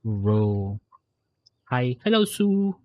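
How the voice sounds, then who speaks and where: A woman's voice: a bass voice, big pitch swings, drawn-out words, a little mellow, dry recording. A woman with a Filipino accent chatting casually and bluntly as she livestreams a game, reacting freely and switching between two languages. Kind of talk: casual chat while playing a game. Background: faint game music.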